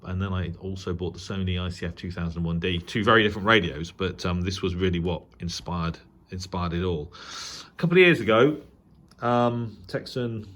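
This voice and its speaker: A British man giving a casual, unscripted radio review as a hobbyist vlogger, rambling along in a hesitant, halting way. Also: low voice, a bit bright. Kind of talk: casual unboxing and review talk.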